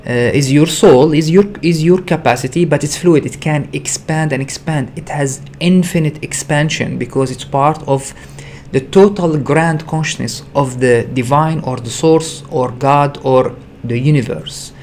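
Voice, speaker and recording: A man's voice: on the high side, a little slow, few pauses, a little mellow, a little hiss or hum. Earnest man hosting a spiritual livestream. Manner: earnest, repetitive, searching phrasing with frequent hesitations. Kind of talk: unscripted spiritual teaching talk.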